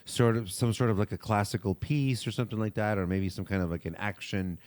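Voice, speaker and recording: A man co-hosting a podcast, chatting casually and informally as he thinks out loud. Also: fairly low pitch, fairly even intonation, brisk, no echo. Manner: loose, informal, thinking aloud. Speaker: podcast co-host. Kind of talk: casual pop culture chat.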